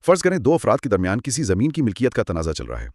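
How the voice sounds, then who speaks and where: A man's voice: lowish voice, wildly swooping pitch, racing, a little mellow, dry recording, studio quality. A man co-hosting a conversational legal explainer, teaching through examples and rhetorical questions. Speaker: co-host explaining law.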